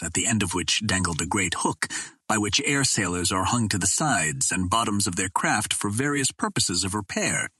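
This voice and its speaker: Low-voiced man narrating an adventure novel, slightly husky. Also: sharp tone, no echo. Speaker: audiobook narrator.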